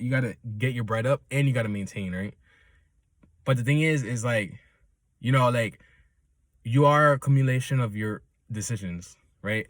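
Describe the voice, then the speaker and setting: A man's voice: lowish voice, no echo. Rambling young man chatting casually. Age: 20s. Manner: loose, chuckling, keeps checking in with "right?". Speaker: solo talker.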